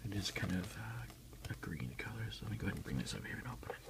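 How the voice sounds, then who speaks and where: A man speaking as an ASMR host, soft-spoken and unhurried, in a casual style. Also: fairly low pitch, expressive, vocal fry, heavy background noise. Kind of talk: whispered ASMR unboxing, reading aloud and chatting.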